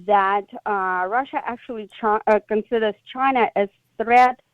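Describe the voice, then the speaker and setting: A woman speaks as an expert guest in a radio interview, at a medium pitch. Her delivery is deliberate and emphatic, with halting phrases. The line is dull and lo-fi, with very little room sound. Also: no echo. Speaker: expert guest, former intelligence officer.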